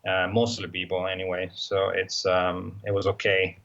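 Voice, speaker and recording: A man's voice: low-pitched, completely flat delivery, normal speed, creaky, a bit bright, lo-fi sound. A man with an Italian accent, talking casually as an interview guest, hesitant and full of fillers and restarts. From Italy. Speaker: guest, motorcycle traveler.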